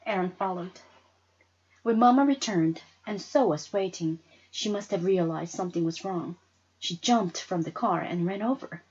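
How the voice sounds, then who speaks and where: A woman reading a story aloud with expressive narration. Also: lowish voice, moderate pace, breathy edge, lo-fi sound. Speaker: story reader.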